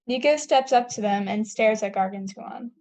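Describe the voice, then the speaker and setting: Soft-toned, higher-voiced woman narrator. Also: normal speed. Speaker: narrator reading stage directions. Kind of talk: scripted narration.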